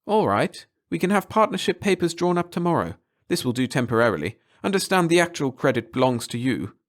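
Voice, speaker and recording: A man voicing a patient, quiet-spoken chemist in a story reading. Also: fairly high pitch, sing-song, a little fast, voice pushed up.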